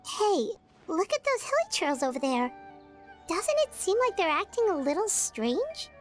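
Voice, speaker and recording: A woman voicing a small, childlike female fairy companion in a video game, squeaky, curious and excitable. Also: a little slow, soft background music, exaggerated voice. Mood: pondering, uneasy. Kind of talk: video game character dialogue.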